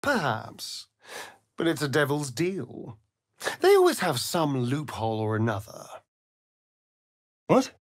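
Theatrical, sardonic vampire rogue, wildly swooping intonation. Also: male voice, fairly high pitch, wildly swooping pitch, slow pace, pauses often, no room sound, clean studio sound, heavily acted. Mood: wry. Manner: arch, drawling, theatrical. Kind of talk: video game character acting.

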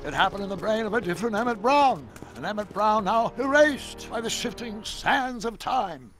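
An actor playing an eccentric, excitable elderly scientist in a video game, with a gravelly voice and a dramatic, wordy way of exclaiming. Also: male voice, high voice, moderate pace, music in the background. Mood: musing. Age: older.

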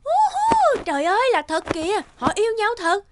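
A woman voicing an excited, gushing young female fan in a cartoon, exclaiming and swooning. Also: extremely high pitch, normal speed, very noisy, pitched above the performer's own voice, heavily acted. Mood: thrilled.

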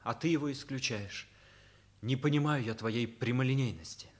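A man's voice: medium pitch, moderate pace, close to an everyday voice. An actor in a Soviet radio play playing a loyal, frank teenage schoolboy who argues insistently and reproachfully with his father. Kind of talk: Soviet radio drama acting.

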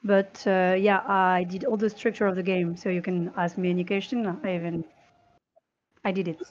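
A woman in her thirties chatting casually on a panel through a headset, in animated, broken phrases. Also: fairly low pitch, moderate pace. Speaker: game developer, panelist. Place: home room on a video call. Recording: dull compressed video-call audio.

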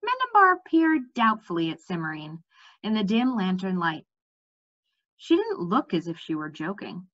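A young adult woman reading a storybook aloud at home, expressive, with the odd stumble. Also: mid-range voice, moderate pace. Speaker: reader. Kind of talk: storybook read-aloud narration with lively dialogue. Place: bright room at home. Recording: slightly dull webcam audio.

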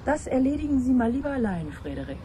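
A woman's voice: high-pitched, big pitch swings, fast talker, warm tone. An actress in a German radio play playing a brusque, uneasy woman with a dry, mocking way of talking. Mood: dry, wary. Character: brusque, uneasy, sharp-tongued woman exploring a flat. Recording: 1980s radio drama, faint noise.

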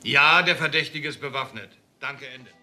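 An actor playing a sober, authoritative police detective in a German crime radio drama, terse and matter-of-fact. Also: male voice, medium pitch, moderate pace, bright, forceful tone. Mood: commanding.

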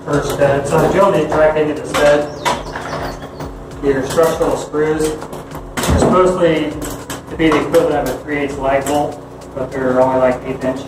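A man's voice: fairly high pitch, steady tone, normal speed, pauses often, noisy background. A man in his thirties explaining his building work in a casual, matter-of-fact way, with a wry edge. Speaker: homesteading vlogger. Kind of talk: casual explaining while working. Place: unfinished wooden building, partly open to outdoors. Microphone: camera across the room. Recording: echoey room, voice from a distance.

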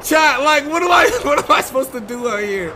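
A man's voice: extremely high pitch, expressive, fairly quick, sharp tone, a little hiss or hum. An American man reacting to a game on a livestream, incredulous and profane, often repeating himself. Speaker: game streamer. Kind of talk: livestream gameplay reaction, roleplay.

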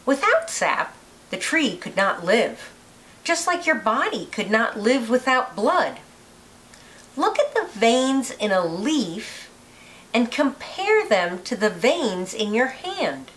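A woman reading a children's picture book aloud with deliberate, expressive phrasing. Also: fairly high pitch. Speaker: read-aloud narrator, teacher.

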